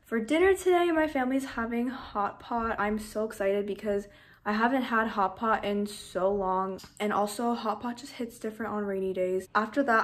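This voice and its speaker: A seventeen-year-old girl from Canada, chatting casually to the camera in her bedroom, upbeat and talkative with lots of "like" and "literally". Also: mid-range voice. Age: 17, teenager. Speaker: vlogger. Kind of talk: casual vlog chat to camera. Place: bedroom at home, indoors.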